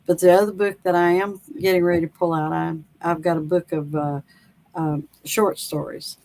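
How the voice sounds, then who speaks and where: A woman author from North Carolina with a Southern accent, chatting in an interview in a slow, folksy, rambling way with many pauses and fillers. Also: fairly low pitch, level tone, a little mellow. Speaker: author guest. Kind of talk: casual interview.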